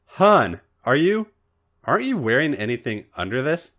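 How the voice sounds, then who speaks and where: A man's voice: mid-range voice, sing-song, normal speed, a bit bright, pitched above the performer's own voice. Man voicing a fond, coaxing worldly woman. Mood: coaxing, flustered. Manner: coaxing, uses pet names. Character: fond, worldly woman wrestler mentoring a younger friend.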